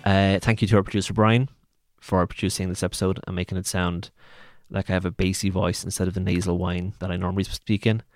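A man hosting a podcast, easygoing in chat and brisk in his sign-off. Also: low-pitched, flat delivery, no room sound, studio quality, faint music behind. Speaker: podcast host. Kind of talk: casual chat.

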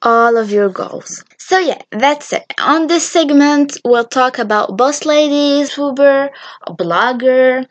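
A young woman of nineteen whose first language is Arabic chats through a solo podcast, hesitant and friendly, with plenty of fillers and restarts. Her voice sits a little high and she takes her time over every phrase. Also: slow. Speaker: podcast host. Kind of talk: casual solo podcast monologue.

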